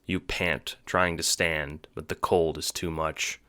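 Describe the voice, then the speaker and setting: A man's voice: a bass voice, flat delivery, takes it slowly, bright, forceful tone. A man reading scripted second-person narration for an audio drama, on a noisy home recording. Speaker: narrator and producer.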